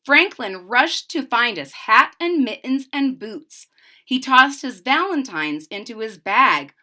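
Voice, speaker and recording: A woman reading a storybook aloud for children, expressive and child-directed, adding simple explanatory asides. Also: fairly high pitch, slow pace. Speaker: storybook reader.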